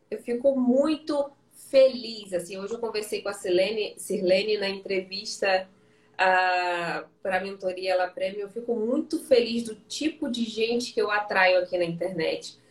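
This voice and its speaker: A woman's voice: mid-range voice, measured pace, reverberant, recorded from a distance, low-quality recording. A woman hosting a casual live-stream interview, chatty and encouraging, asking friendly questions.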